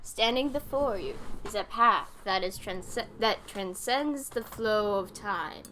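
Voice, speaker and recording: A woman reading game dialogue as a solemn old female sage, formal and measured. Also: medium pitch, noisy background, natural voice. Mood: solemn, encouraging.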